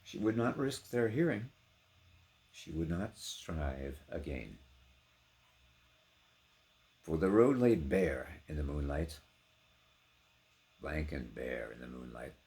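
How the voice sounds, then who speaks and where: Slow, intensely dramatic man reciting poetry. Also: very deep voice, expressive, gravelly. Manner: theatrical, declamatory, sharp dynamic contrasts. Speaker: poetry reader.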